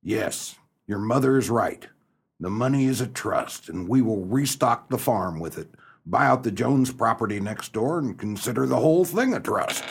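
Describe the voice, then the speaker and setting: An actor playing an old, folksy, pious farmer father in a comic radio melodrama, with a gravelly, husky voice. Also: fairly low pitch, lively intonation, moderate pace, a little hiss or hum. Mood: hearty.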